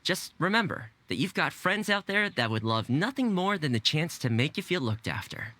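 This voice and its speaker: A man playing a friendly, easygoing, caring young man in a video game, amiable and gently joking. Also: fairly high pitch, very animated, normal speed, no room sound.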